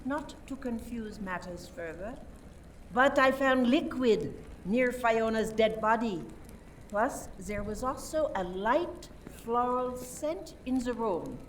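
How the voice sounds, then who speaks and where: An actress playing a suspicious detective with a deliberate, theatrical, probing manner in a radio play. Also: female voice, on the high side, lively intonation. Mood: probing, skeptical. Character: suspicious French detective methodically questioning suspects. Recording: distant microphone, faint background noise.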